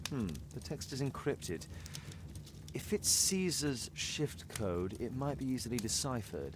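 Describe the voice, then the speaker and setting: An actor playing a shrewd, self-assured Victorian detective in a video game, British accent, precise, probing and dryly superior. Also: male voice, medium pitch, lively intonation, unhurried, noisy background, lightly acted. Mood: musing. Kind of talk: dramatic video game acting.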